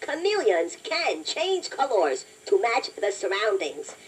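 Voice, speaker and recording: A woman voicing a chatty, playful toy robot that hosts a children's quiz, encouraging the listener in a deliberate, self-deprecating way. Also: mid-range voice, sing-song. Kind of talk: scripted children's quiz narration. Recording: noisy toy cassette playback, distant from mic.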